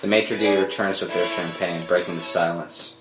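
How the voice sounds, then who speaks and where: A man's voice: low voice, steady tone, moderate pace. A man narrating scripted screenplay directions at a table read. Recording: roomy echo under dramatic score music.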